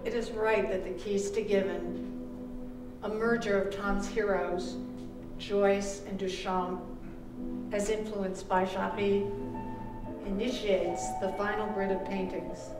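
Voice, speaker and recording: A woman author reading her own literary text aloud at a live event, with measured, deliberate phrasing. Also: medium pitch, steady tone, loud music behind the voice. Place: live performance event in a room. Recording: roomy, distant microphone with background noise.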